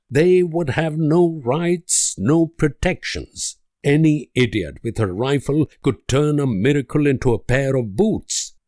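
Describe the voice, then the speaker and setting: An actor playing an idealistic, world-weary diplomat, delivering grave, deliberate speeches and letters in a strategy game. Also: male voice, medium pitch, a little mellow, no echo, clean studio sound. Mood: bitter indignation.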